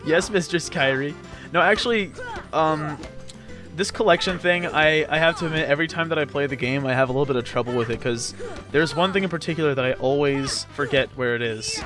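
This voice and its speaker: Higher-voiced man chatting over game music. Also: a little fast. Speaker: let's play commentator. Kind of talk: casual gameplay commentary.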